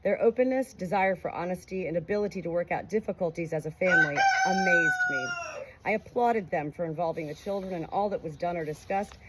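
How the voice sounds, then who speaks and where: A woman narrating a memoir aloud in an expressive, emotive way. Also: fairly low pitch, very noisy. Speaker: audiobook reader.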